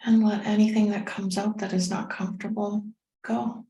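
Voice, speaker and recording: A woman leading a guided meditation as a teacher, speaking in a gentle, unhurried, soothing way with pauses. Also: medium pitch, flat delivery. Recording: roomy, echoing sound.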